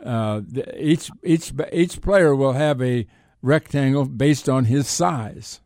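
An American man chats about sports as a radio host. His delivery is halting, circling back to repeat and rephrase words. The sound is dry, with very little room on it. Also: medium pitch, darkish tone, no echo. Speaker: sports talk show host. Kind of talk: casual sports chat.

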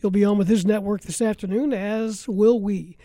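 Man, sports radio host, mellow dark tone, dry studio-like sound. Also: high voice, fairly even intonation, moderate pace, dry recording. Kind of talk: live radio interview and hosting.

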